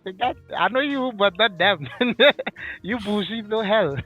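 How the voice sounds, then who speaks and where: A man's voice: high-pitched, measured pace, faint music behind. A man from Trinidad with a Trinidadian accent, teasing friends in a casual podcast chat. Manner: teasing, animated. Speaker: podcast co-host.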